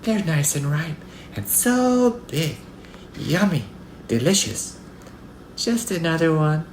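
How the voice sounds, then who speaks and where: A man's voice: a little high, animated, slow, echoey room, slight background noise, voice pushed up. A man voicing a sweet, naive little girl in a storybook, exclaiming and drawing out words. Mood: delighted.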